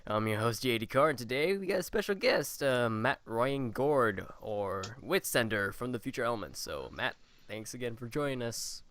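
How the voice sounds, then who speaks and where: A man in his early twenties hosting an interview podcast, chatty and jokey, with quick reactions to his guest. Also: mid-range voice, lively intonation, fairly bright tone. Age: early 20s. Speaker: podcast host.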